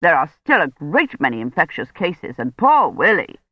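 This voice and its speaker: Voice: medium pitch, wildly swooping pitch, normal speed, husky, no room sound, lo-fi sound. A narrator voicing a haughty, self-righteous older woman who scolds in a pompous, indignant way.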